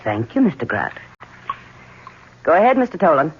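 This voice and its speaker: Lively, poised, flirtatious secretary. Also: female voice, sits low, normal speed. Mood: playful, flirtatious. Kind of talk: old-time radio drama acting. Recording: muffled vintage radio with faint noise.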